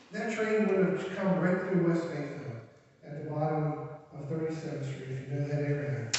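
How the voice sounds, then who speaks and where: A man speaks earnestly at a public meeting, firing off rhetorical questions and often ending with "right?". His voice sits fairly high for a man. He is heard from a distance in a large echoing hall, and the sound is dull and lo-fi. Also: a little mellow. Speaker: website administrator addressing a public meeting. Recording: roomy hall, distant mic, muffled.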